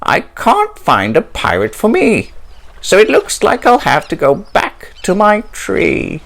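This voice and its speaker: A man's voice: sits high, wildly swooping pitch, slow, vocal fry, fairly bright tone, pitched above the performer's own voice, exaggerated voice. A man voicing a fussy, determined female parrot in a storybook, squawky and exclaiming, with bird squawks. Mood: exasperated, sighing.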